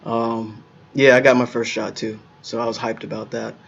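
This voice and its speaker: A relaxed man hosts a casual online interview from his home studio. His voice is gravelly, and the stream audio sounds dull and lo-fi. He chats loosely and laughs easily. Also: medium pitch, moderate pace. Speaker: show host.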